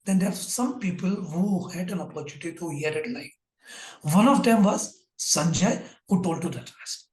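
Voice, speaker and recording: A middle-aged man with an Indian English accent speaks in a husky, fairly high voice. He retells an old story in a loose, rambling way, full of "you know" fillers. His voice comes over a video call from an echoey room. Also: normal speed, darkish tone. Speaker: guest.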